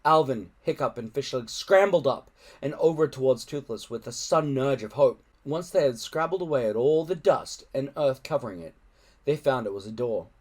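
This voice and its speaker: A man narrating a children's adventure story aloud in an expressive storytelling style. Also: medium pitch, moderate pace. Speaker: storybook reader.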